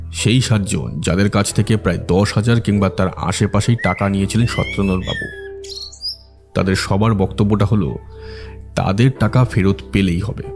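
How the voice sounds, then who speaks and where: A man playing a methodical, earnest detective in an audio drama, explaining his reasoning deliberately. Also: on the low side, close to an everyday voice. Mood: troubled, brooding. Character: methodical male detective reasoning through a case, earnest, analytical. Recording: music behind the voice.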